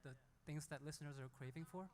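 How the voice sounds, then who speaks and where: A man from South Korea, an indie musician, speaking off the cuff and haltingly on a panel. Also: fairly high pitch, darkish tone. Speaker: indie singer-songwriter on a panel. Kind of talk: unscripted panel talk. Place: hall at a music conference panel. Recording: echoey hall with long reverb.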